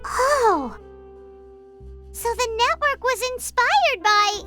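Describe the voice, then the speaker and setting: A woman's voice: very high voice, big pitch swings, drawn-out words, sharp tone, faint music behind, exaggerated voice. A woman voicing a chirpy little fairy girl companion in a video game. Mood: eager. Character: chirpy small fairy girl companion speaking in third person.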